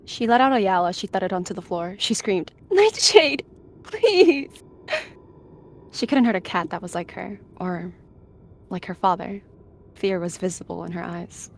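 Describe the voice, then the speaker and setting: A woman's voice: fairly low pitch, steady tone, normal speed, vocal fry. A woman narrating an animal roleplay story in the third person with dramatic delivery.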